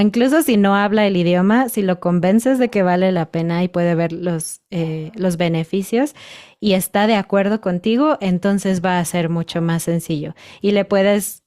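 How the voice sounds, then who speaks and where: A woman's voice: fairly low pitch, clear, resonant tone. A woman in her thirties with a Mexican Spanish accent, a guest chatting thoughtfully in an interview. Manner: thoughtful, measured, with soft laughs. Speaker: podcast guest, Spanish teacher. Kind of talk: casual interview chat.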